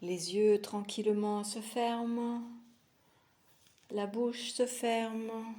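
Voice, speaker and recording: A woman teaching yoga nidra, guiding a meditation in a soothing, unhurried manner with long pauses between short phrases. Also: on the high side, fairly even intonation, dark tone. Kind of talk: guided relaxation meditation.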